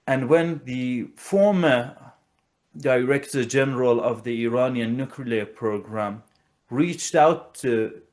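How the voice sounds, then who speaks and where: Guy from iran doing geopolitics interview analysis, really slow and deliberate, stops and starts, medium pitch.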